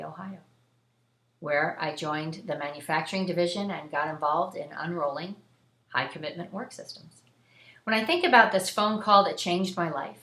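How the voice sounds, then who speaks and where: A woman with a fairly low voice shares a first-person story as a host. Her delivery is conversational and earnest, with emphasis on key phrases. The recording has a clear room echo around her voice. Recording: echoey room.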